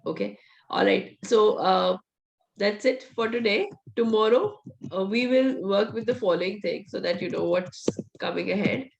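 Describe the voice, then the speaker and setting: A woman's voice: medium pitch, moderate pace, vocal fry, dull audio, a little hiss or hum. A woman trainer, teaching a live coding class with her voice almost gone, direct and coaching. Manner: direct, coaching, laughs often. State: voice nearly gone, hoarse. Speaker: VBA trainer. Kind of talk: live online class, teaching and answering questions.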